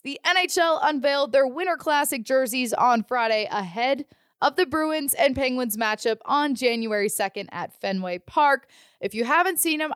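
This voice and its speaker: A woman with an American accent and a fairly high voice works as a sports podcast host. She presents in a studio style, recorded close and dry with very little room sound. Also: dry recording. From Ohio, United States. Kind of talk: scripted sports news and studio interview.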